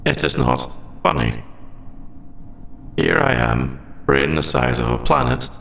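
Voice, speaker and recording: Sentient AI , played by a man for ASMR roleplay: bright, crisp-edged tone, very wide pitch swings, formal and hesitant, echoing space. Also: wildly swooping pitch, a little hiss or hum, full character voice. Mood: conflicted, hesitant. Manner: halting, formal, clipped robotic phrasing. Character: lovestruck sentient AI, formal, conflicted, hesitant about forbidden feelings. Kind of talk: ASMR audio roleplay, scripted monologue.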